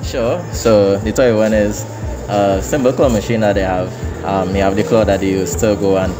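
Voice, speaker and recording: A young man explaining arcade games as a guest, measured and patient, with fillers and easy laughs, in a mall arcade. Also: lowish voice, fairly even intonation. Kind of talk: casual explanation. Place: indoor shopping mall arcade. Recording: loud background music.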